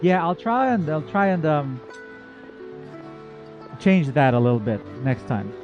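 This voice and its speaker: Male livestream host, higher register, informal and rambling, slightly lo-fi sound, soft music. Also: very animated, a little slow. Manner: rambling, informal, self-correcting. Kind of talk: casual chat to viewers, game reaction. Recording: dull, muffled audio with faint music.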